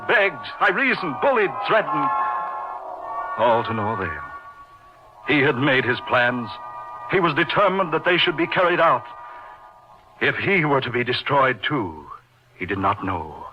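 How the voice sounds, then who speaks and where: Anguished, melodramatic man, swooping voice. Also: medium pitch. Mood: desperate, anguished. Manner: melodramatic, emphatic repetition, dramatic pauses. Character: anguished male narrator confessing a desperate killing. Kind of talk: 1940s radio horror monologue. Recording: muffled old radio recording, loud music.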